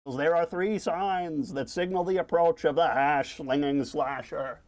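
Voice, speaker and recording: A man voicing a theatrical, irritable cartoon squid, loud and emphatic in delivery. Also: fairly high pitch, unhurried, pitched above the performer's own voice, close to an everyday voice. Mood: ominous, dramatic. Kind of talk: acted cartoon storyboard pitch.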